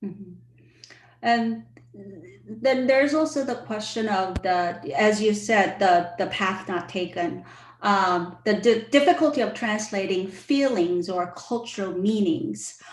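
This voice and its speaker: A woman moderates an online talk in a mid-range voice, leaning on soft hedging fillers as she passes questions to her guest. Her voice carries a roomy echo. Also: medium pitch, takes it slowly, slight background noise. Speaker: host, moderator.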